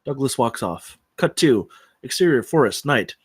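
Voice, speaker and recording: A man's voice: medium pitch, animated, normal speed, breathy edge, no room sound, studio quality. A man reading screenplay stage directions aloud as scripted narration.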